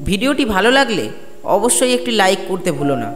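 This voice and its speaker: A man narrating a scripted horror story for an animated tale. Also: sits high, a little fast. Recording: long hall reverb under clear music.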